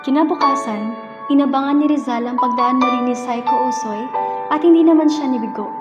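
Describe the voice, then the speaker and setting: High-voiced woman narrator in long reverb. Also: brisk, lo-fi sound. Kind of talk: scripted narration for amateur radio drama. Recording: long reverb, very loud music.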